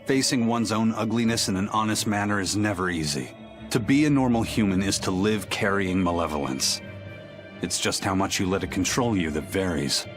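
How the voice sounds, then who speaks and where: A man's voice: lowish voice, normal speed, over music, natural voice. A man playing a stoic, principled, philosophical warrior in a video game. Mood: solemn.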